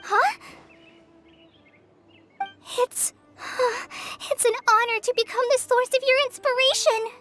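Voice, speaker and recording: A timid, stammering trainee ranger. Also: female voice, very high-pitched, steady tone, takes it slowly, long pauses, a bit bright, soft background music, exaggerated voice. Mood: shy. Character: shy, earnest young female forest ranger trainee. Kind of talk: video game dialogue.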